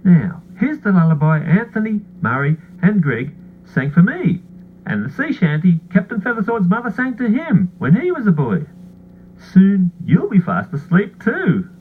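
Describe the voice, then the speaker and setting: Man, phone-like recording with faint hum, high-pitched voice, children's bedtime storytelling. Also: wildly swooping pitch, a little slow.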